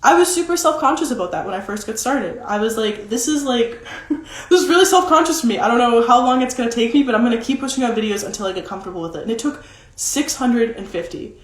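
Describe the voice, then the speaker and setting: A young woman with a deeper-than-usual voice talks casually in a roomy, echoey space. She chats freely, with lots of "like" fillers, as she coaches her viewers on content. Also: animated, fast talker. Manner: chatty, many "like" fillers. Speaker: social media content coach. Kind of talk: casual livestream talk. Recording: roomy echo.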